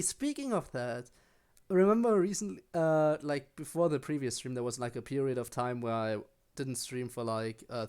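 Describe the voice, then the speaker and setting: Casual, rambling game streamer, mid-pitched voice. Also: clear, resonant tone. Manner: rambling, chatty asides to viewers. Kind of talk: casual live stream chat while playing a game.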